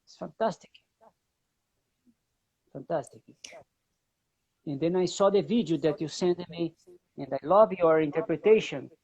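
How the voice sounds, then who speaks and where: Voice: medium pitch, a little slow, pauses often, darkish tone, slightly rough, no room sound. Host in broken English, dull audio. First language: not English. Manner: broken English, repeats words. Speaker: interview host. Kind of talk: casual interview conversation in English.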